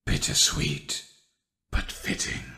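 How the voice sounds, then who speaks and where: A man voicing a sly undead animatronic villain in a horror game, gravelly, theatrical and savouring each phrase in an erudite way. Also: slow pace, sharp tone. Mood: sly intrigue.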